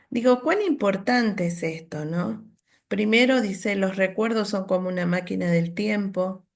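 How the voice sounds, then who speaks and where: A woman from Argentina, leading a workshop and telling a family story in a reflective, storytelling manner. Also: medium pitch. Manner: reflective, storytelling, many "¿no?" tags. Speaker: workshop leader. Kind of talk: online workshop presentation.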